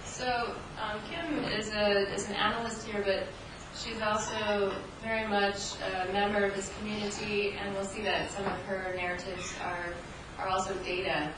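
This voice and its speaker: A woman's voice: medium pitch, level tone, moderate pace. Researcher woman, distant and echoey. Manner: reflective and explanatory, with some hesitations. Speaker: academic researcher, co-presenter. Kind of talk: academic conference presentation. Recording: echoey hall, distant mic, noisy.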